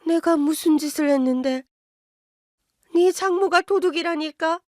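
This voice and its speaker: A woman voicing a shrill, pushy older mother-in-law who scolds and accuses in a high, indignant voice. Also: unhurried, darkish tone, dry recording, studio quality. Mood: defensive.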